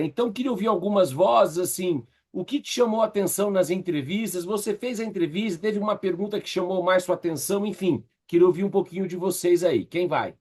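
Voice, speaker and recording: A Brazilian man with a slightly husky voice teaching an online class in a conversational, encouraging way, often checking in with his listeners. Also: fairly high pitch, a little mellow. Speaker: course instructor leading an online workshop. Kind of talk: casual interactive online teaching.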